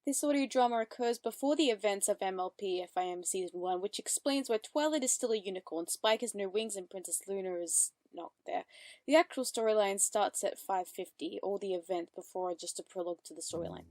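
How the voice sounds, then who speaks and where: A woman's voice: mid-range voice, a little fast, a little mellow, dry recording. A woman hosting a reaction video in casual, chatty commentary, reading captions aloud.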